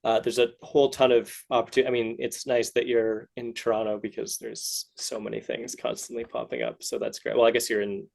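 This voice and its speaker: Man, podcast host, creaky voice, casual interviewing, halting and rephrasing. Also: medium pitch, flat delivery, darkish tone. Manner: halting, rephrases questions midway.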